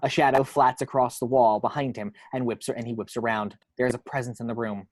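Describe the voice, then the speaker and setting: A man narrates the scene directions of a film script. His voice sits at an average pitch, and the sound is dry, without room echo. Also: steady tone, fairly quick. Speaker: reader of action descriptions. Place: at home.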